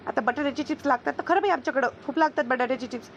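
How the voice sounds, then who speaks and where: A woman's voice: high voice, rapid-fire, highs cut off, slight background noise. A woman voicing a chummy, grateful village housewife in a cartoon comedy, chatty and homely, with a rural Maharashtrian accent. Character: slower-moving village housewife Renu, grateful, chummy best friend.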